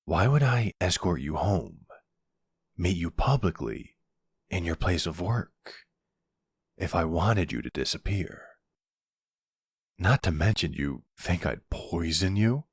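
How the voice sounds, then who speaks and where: Man playing a deep, smug young mafia boss. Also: lively intonation, breathy edge, no echo, clean studio sound, heavily acted. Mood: cajoling. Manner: teasing, unhurried, smug. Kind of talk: ASMR boyfriend roleplay acting.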